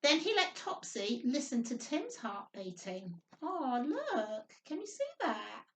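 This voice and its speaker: High, animated woman reading to young children. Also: takes it slowly, a little hiss or hum. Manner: sing-song, simple child-directed talk with frequent tag questions. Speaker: children's storytime host, nursery worker. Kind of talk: reading picture book aloud to young children. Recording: roomy echo, distant microphone.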